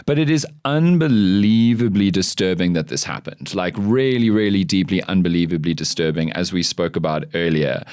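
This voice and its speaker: A man's voice: sits low, normal speed, few pauses, a little mellow, clear, resonant tone, no echo, clean studio sound. A man, hosting an interview in an earnest, emphatic way and repeating words for stress. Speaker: podcast host.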